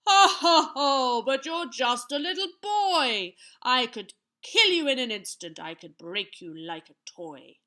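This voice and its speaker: A woman acts out a wicked giant from a children's storybook. He is a booming, forceful bully who draws out his words and swings widely in pitch as he taunts and brags. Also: expressive, takes it slowly, full character voice. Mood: mocking threat. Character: wicked boastful giant man, menacing bully.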